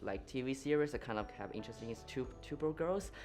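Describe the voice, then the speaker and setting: A male student whose first language is Chinese, talking casually at a roundtable in a halting way and laughing between thoughts. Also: mid-range voice, lively intonation, a little mellow, faint music behind. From China.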